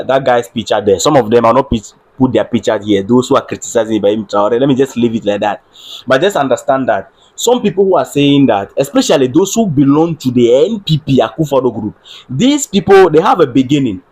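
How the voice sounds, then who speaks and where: Husky Ghanaian man streaming commentary. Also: medium pitch, a little mellow. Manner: earnest, repeats phrases, checks on his audio with viewers. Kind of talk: solo livestream political commentary.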